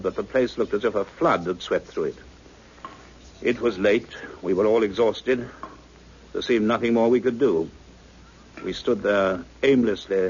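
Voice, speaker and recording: Man acting a grave, low-voiced, authoritative scientist. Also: a little mellow, natural voice. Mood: somber narration. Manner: deliberate, commanding, earnest. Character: grave, authoritative older male scientist, kindly and intent. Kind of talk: 1960s radio drama acting. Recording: muffled old radio recording, faint noise.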